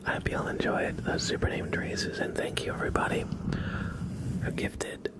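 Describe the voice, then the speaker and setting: A man hosting an ASMR livestream, speaking to viewers in soft, drawn-out whispers. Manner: soft whispering, spelling names out slowly letter by letter.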